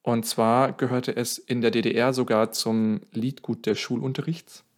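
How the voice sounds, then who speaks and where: A man's voice: medium pitch, little pitch movement, normal speed. A man telling a song's history in casual podcast talk, often correcting himself mid-sentence. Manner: explains at length, self-correcting, thinking aloud. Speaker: podcast co-host.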